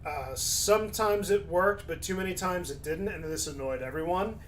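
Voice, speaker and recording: A man's voice: high voice, normal speed, a bit bright, slight background noise. A man streaming casually, reading chat aloud and commenting in a laid-back way, his voice starting to go.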